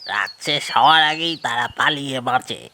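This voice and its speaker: An actor playing a grave local man, recounting a haunted house's history in a cautionary, deliberate way for an audio horror story. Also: medium pitch, pushed and bright, a little hiss or hum. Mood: grave, warning.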